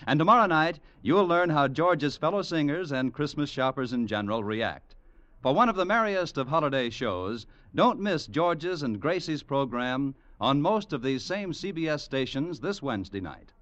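A man's voice: fairly high pitch, very animated, normal speed, a bit bright. A man with an American accent announcing in a 1940s radio style. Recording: muffled old radio broadcast.

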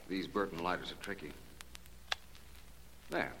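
An actor playing a suave, politely probing private detective in a 1940s radio drama. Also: male voice, sits low, measured pace, vocal fry. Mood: courteous, sympathetic. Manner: polite, formal, pointedly questioning. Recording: noisy, clicking 1940s radio recording.

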